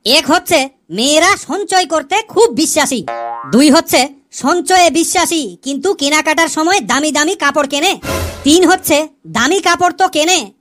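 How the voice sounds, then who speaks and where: Woman voicing a high-pitched cheeky cartoon schoolboy. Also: expressive, normal speed, fairly bright tone, soft background music. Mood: mock-earnest. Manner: mock-earnest explaining, addressing teacher respectfully. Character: cheeky male pupil Kalu, joking about wives and women. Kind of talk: comedy cartoon dialogue.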